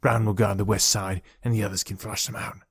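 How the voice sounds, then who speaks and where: A man voicing a cold, commanding villain in an audiobook adventure, giving clipped, low-key orders. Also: level tone, a little fast, slightly rough.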